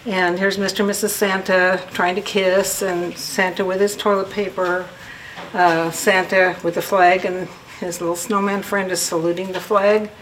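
Female artist interviewee, lowish voice, hesitant and informal, light ambient noise behind. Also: level tone, normal speed, poor audio. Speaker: local artist being interviewed. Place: art gallery. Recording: noticeable background ambience noise.

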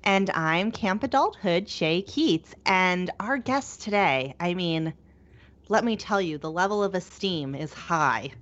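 A woman's voice: on the low side, lively intonation, slow, a bit bright. Chatty woman podcast co-host, dull audio. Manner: chatty, trails off and restarts mid-thought. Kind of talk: casual interview chat.

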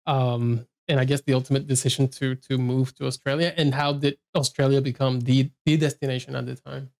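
A man's voice: mid-range voice, level tone, a little fast, gravelly, darkish tone. A man interviewing a guest, asking loose, rambling questions full of fillers and easy laughs.

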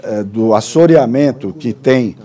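A man with a Brazilian Portuguese accent giving a brisk formal presentation with hesitant restarts. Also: mid-range voice, lively intonation, warm tone. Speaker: conference speaker.

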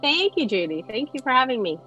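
A woman's voice: sits high, very animated, fairly quick, pushed and bright, faint music behind. A woman speaking casually and conversationally as an interview guest. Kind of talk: casual chat. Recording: slightly dull, lo-fi sound.

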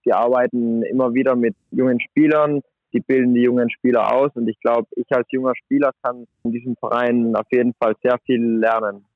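Young male athlete guest, casual and chatty, mid pitch, heard over a thin phone line. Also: brisk, no echo. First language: German. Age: 20s. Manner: relaxed, chatty, many fillers like "sag ich mal". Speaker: guest handball player. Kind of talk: casual interview.

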